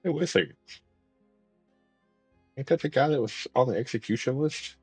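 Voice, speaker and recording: A woman's voice: a bass voice, moderate pace, slightly rough, no room sound, soft background music. A woman streaming a game, making laid-back, joking remarks over it. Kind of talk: casual commentary over gameplay.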